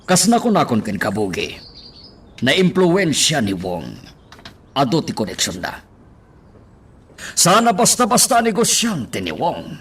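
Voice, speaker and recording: A man's voice: a little high, huge pitch swings, unhurried, pauses often, a bit bright, husky, exaggerated voice. An actor playing a commanding, wary male boss in an Ilocano radio drama. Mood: wary, calculating. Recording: faint background noise.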